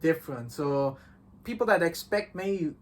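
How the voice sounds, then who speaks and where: A man co-hosting a casual, rambling review discussion, speaking in a halting, hedging way with slightly unusual phrasing. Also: a little high, expressive, slight background noise. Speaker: co-host of a visual novel review show.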